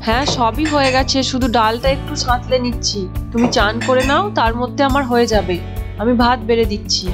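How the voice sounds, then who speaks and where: A woman's voice: fairly high pitch, normal speed, over music, natural voice. A woman voicing a caring, homely mother-in-law in a cartoon. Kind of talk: Bengali cartoon voice acting.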